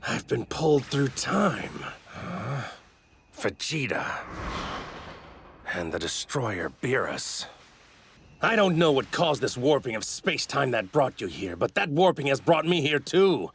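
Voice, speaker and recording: A sadistic, god-like anime villain who relishes his own superiority. His gravelly voice is sharp and forceful, swooping theatrically as he savors each haughty word. Faint noise sits in the ripped dub audio. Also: medium pitch, very animated, a little slow, exaggerated voice. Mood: smug, intrigued. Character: arrogant, sadistic god-like villain in a stolen warrior's body. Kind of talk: English anime dub acting.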